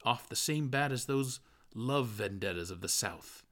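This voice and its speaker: A man reading a literary novel aloud as an audiobook, in expressive narration. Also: medium pitch, a little slow. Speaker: audiobook reader.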